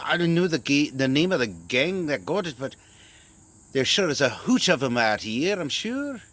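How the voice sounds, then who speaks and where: A man's voice: mid-range voice, fairly bright tone, voice pushed up. A man voicing a gossipy male leprechaun with a put-on Northern Irish lilt, folksy, rambling and chuckling. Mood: grumbling.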